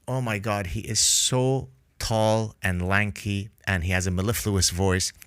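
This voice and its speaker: A man's voice: lowish voice, fairly even intonation, measured pace, smooth, dry recording, studio quality. A man whose first language is Arabic, a podcast guest explaining ideas emphatically with vivid analogies. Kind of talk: podcast discussion.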